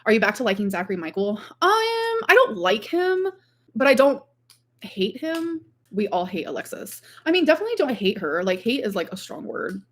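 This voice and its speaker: A woman's voice: a little high, big pitch swings, moderate pace, a bit bright. A woman chatting casually on a livestream in a rambling way, peppering her talk with "like". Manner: rambling, frequent "like". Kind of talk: casual livestream chat, reading out viewer comments.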